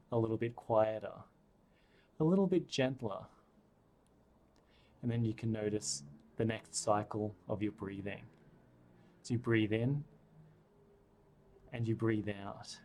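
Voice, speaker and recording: Man, meditation guide, very slow, soft and soothing, long pauses. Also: lowish voice, steady tone.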